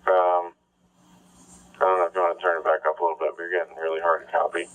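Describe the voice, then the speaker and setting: A man's voice: sits low, level tone, quick pace, a little hiss or hum. A man in a casual two-way chat as an amateur radio operator, heard through a radio transmission. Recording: dull lo-fi ham radio repeater audio.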